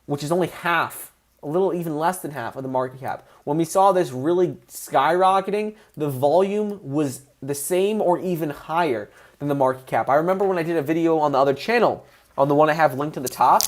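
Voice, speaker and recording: A man hosting a casual livestream, excitable and answering viewers' chat questions as he goes. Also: on the high side. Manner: excitable, voice rises when passionate.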